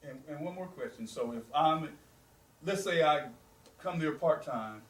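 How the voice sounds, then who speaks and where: A man's voice: on the high side, animated, unhurried. A man interviewing in a casual, informal way, building his questions out of everyday examples. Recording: echoey room, distant mic, faint noise.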